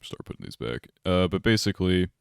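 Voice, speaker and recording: A man's voice: low voice, sing-song, a little fast, creaky, clean tone, dry recording, studio quality. A young man hosting a casual podcast, laid-back and loose, with lots of fillers and chuckles. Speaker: podcast host.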